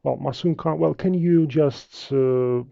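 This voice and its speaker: Gravelly, dark-voiced man with Russian accent. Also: on the high side, no echo, lo-fi sound. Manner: hesitant, halting, many fillers and restarts. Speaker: trading instructor. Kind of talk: live webinar talk with viewer questions.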